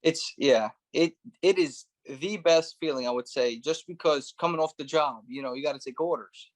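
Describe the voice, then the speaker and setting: A man's voice: a little high, moderate pace. Russian-born man telling his story casually. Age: 30s. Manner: relaxed storytelling with many fillers like "you know" and "right". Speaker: guest, former police officer turned real estate investor. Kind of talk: casual interview conversation.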